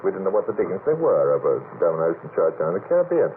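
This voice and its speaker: A man speaking as a guest in a radio panel debate, emphatic and a little halting, with old-fashioned turns of phrase. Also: low-pitched, sing-song. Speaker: guest researcher of unexplained sky sightings. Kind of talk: animated late-night radio panel debate. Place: radio studio. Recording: noisy, phone-like old 1950s radio recording.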